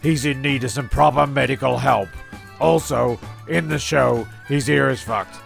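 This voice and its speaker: A man's voice: medium pitch, unhurried, gravelly, faint music behind. A man narrating a comedy radio play in a hesitant, rambling way, often repeating himself.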